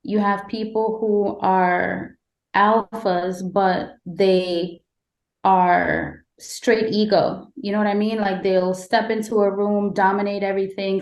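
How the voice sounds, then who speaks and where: A woman from Guyana answering as a podcast guest in a measured, explanatory, conversational way. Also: medium pitch. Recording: echoey room.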